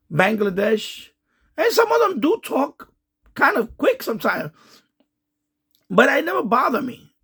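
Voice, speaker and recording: A man's voice: sits high, vocal fry, a bit bright, husky. A man hosting a ham radio channel, talking casually and rambling with many restarts and repeats. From United States. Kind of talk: casual commentary to camera.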